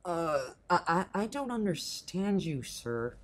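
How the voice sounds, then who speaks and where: A man's voice: sits high, sing-song, heavily acted. A man playing a sarcastic, bewildered young human lost in a desert, in a casual, slangy amateur audio play, with music behind him. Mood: confused. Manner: casual, slangy, exasperated, halting when confused. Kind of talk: amateur audio play with first-person narration. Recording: very loud music bed behind voice.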